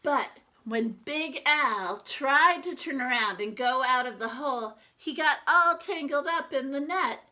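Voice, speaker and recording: A woman reading a children's picture book aloud like a teacher, expressively, with deliberate dramatic emphasis. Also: sits high, bright, forceful tone.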